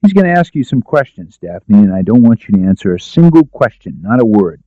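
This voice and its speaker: A man's voice: fairly low pitch, big pitch swings, a little fast, no echo, narrow, tinny audio. A man voicing a shrewd, decisive lawyer-detective in an audiobook, giving confident, clipped orders. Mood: commanding. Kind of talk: audiobook character dialogue.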